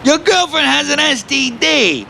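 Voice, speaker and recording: Man acting as a New York construction worker, high creaky male voice, sarcastic, exasperated, audio drama, street noise. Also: lively intonation, unhurried, bright, forceful tone, a faint crowd, heavily acted. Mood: incredulous. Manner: wisecracking, exasperated. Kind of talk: scripted audio play dialogue. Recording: clear outdoor street and traffic noise.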